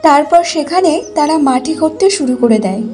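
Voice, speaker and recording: A woman's voice: high-pitched, expressive, moderate pace, over music. A woman narrating a scripted fairy tale for a children's cartoon.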